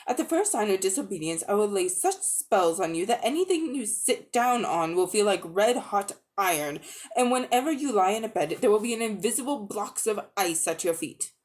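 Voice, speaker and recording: A woman's voice: medium pitch, expressive, moderate pace, lightly acted. Woman playing an imperious witch queen, sweeping and scornful. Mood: commanding, menacing. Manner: haughty, commanding, contemptuous. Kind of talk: dramatic storybook reading.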